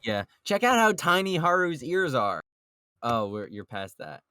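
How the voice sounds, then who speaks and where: A man's voice: medium pitch, sing-song, unhurried, sharp tone, clear, resonant tone, dry recording, studio quality. A man chatting casually as a podcast panelist, joking and swearing freely. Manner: jokey, profane. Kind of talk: casual group chat about a manga.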